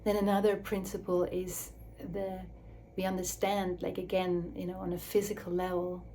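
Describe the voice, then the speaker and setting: A woman speaking English as a second language in a slow, searching reflective talk, with restarts and soft drops to a near whisper. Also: fairly low pitch, completely flat delivery, a little hiss or hum. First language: Swiss German or Dutch. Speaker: speaker on her own channel.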